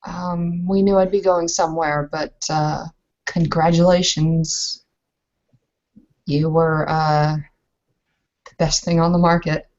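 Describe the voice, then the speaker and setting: A woman's voice: low-pitched, flat delivery, slow pace, pauses often, darkish tone, dull audio. A woman playing a composed, frank Bavarian bride in a tabletop roleplay, hesitating as she searches for words. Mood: reserved, candid.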